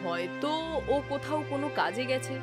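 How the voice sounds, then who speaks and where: A woman's voice: sits high, lively intonation, normal speed. A woman voicing a concerned, supportive village woman in a fairy tale cartoon. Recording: voice over background music.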